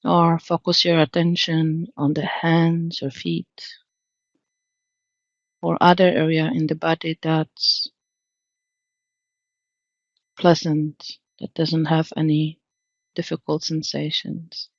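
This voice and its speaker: Casting: female meditation guide, calm and unhurried, lowish pitch, long pauses, dry and slightly dull lo-fi recording. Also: creaky, dry recording. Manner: calm, soothing, long pauses between phrases.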